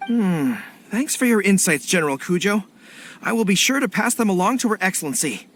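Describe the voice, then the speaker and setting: A man's voice: high voice, expressive, brisk, fairly bright tone, slight background noise. An actor playing a polite, earnest, loyal young male general in a game cutscene.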